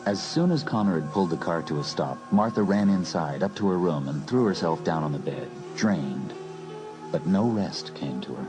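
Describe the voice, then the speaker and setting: A man narrating a dramatised horror audiobook in a measured storytelling manner. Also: low voice, lively intonation, over music, rough recording.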